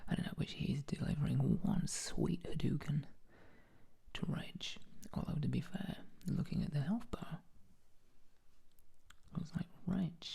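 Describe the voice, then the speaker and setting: A soft whisper carries this ASMR commentary, deep and crackling with fry. The tone is dark and mellow, breathy rather than clean. The delivery stays dry and wry, full of deadpan asides. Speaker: host showing paintings.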